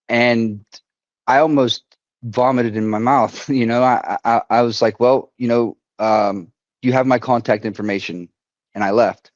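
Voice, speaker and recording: Halting man on a muffled call. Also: medium pitch, dry recording. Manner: halting, restarts often, quotes others. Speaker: veteran speaking at a community council. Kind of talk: personal story told to an online forum.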